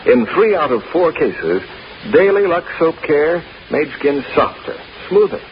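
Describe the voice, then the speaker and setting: A man's voice: a little high, sing-song, measured pace. A man reading a scripted 1950s radio advertisement as an emphatic, salesmanlike announcer. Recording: dull, hissy old radio recording.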